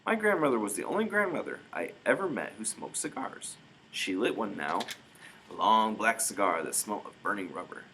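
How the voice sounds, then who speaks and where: A man reading a story aloud in expressive narration, with dramatic emphasis. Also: fairly low pitch, normal speed, slight background noise, low-quality recording. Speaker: storybook reader.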